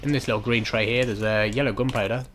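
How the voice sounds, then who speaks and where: A man giving unhurried, instructional commentary over a video game walkthrough in a casual way. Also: fairly low pitch, fairly even intonation, noisy background. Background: video game ambience.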